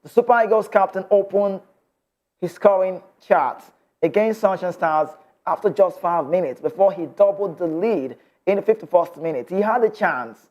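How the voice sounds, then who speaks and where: Nigerian guy on a sports show, high voice, kinda dull lo-fi sound, gets fired up and slips into pidgin. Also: normal speed. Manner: passionate, mixes in Nigerian Pidgin. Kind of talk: sports news reading and opinionated commentary.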